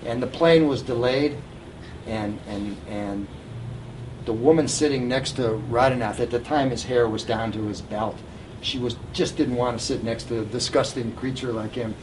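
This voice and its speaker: A man's voice: medium pitch, normal speed, far from the mic, background noise. An older man telling informal anecdotes to a live audience, recorded at an event. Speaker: guest storyteller at a reunion event.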